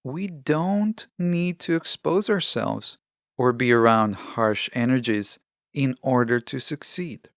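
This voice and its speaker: A man speaks as the host of a self-help podcast in a mid-pitched voice with a noticeable creak. He delivers his monologue in measured, deliberate short phrases. The sound is close and dry, with no room around it. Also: no echo.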